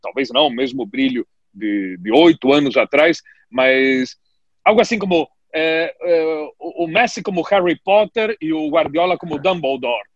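A man discussing football in casual talk, animated and hesitant, with many fillers and restarts. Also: fairly high pitch, normal speed, no room sound, muffled recording. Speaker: football commentator. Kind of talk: podcast discussion.

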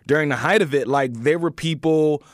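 A man hosting a conversational interview, speaking haltingly and often repeating words as he works toward his question. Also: a little high, dry recording, clean studio sound. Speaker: podcast interviewer, host.

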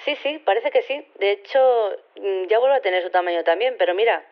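An actress playing an apologetic, casual woman scientist with a halting, understated delivery over a telephone in an audio drama. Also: mid-range voice, expressive. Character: normal-sized female scientist, apologetic, casual, sheepish. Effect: telephone filter. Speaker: phone-in caller. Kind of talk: Spanish audio fiction radio phone-in. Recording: slightly dull telephone sound.

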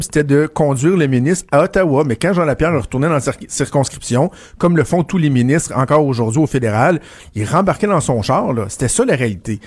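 A man's voice: fairly high pitch, darkish tone, no room sound. A man with a Québécois French accent, a political commentator chatting casually and telling anecdotes with frequent restarts. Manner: storytelling, many restarts and repeated words. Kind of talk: casual radio chat.

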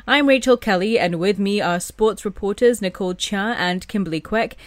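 A woman's voice: mid-range voice, moderate pace, no echo. A woman hosting a radio sports show, introducing guests and asking questions in a scripted presenter way. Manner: bright, scripted presenter delivery. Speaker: radio host. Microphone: studio microphone.

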